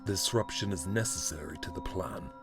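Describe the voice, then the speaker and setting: Man as low-voiced shadowy scheming mastermind. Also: normal speed, gravelly. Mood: sinister, calculating. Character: shadowy scheming male mastermind, coldly calculating. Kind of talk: audio drama acting. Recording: music under the voice.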